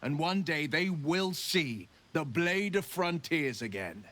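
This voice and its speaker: A man's voice: fairly high pitch, expressive, slow, fairly bright tone. A man playing a horned, pact-bound warlock hero in a video game, speaking with solemn, eloquent, theatrical earnestness. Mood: resolute. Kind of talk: dramatic video game acting.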